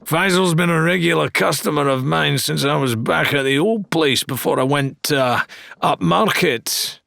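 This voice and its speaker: A man's voice: a little high, normal speed, vocal fry, pushed and bright, dry recording, clean studio sound, pitched above the performer's own voice, heavily acted. A man voicing a blustering, theatrical pirate weapons dealer in an audiobook, drawing out his salesman's patter with grand flourishes. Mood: jovial, ingratiating. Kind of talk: dramatised audiobook character dialogue.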